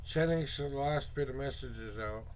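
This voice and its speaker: A hoarse man hosting a casual tarot livestream, muttering asides to himself and greeting viewers in a loose, chatty way. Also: mid-range voice, a little hiss or hum, rough recording. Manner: upbeat, drawn-out greetings to viewers. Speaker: tarot reader and livestream host.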